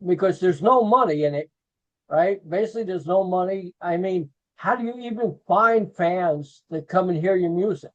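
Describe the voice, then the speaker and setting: A man's voice: high-pitched, moderate pace. Older man on a dull call. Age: 60s. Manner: chatty, with many fillers. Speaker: interviewer. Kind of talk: casual video call interview. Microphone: video call. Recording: dull lo-fi video call audio.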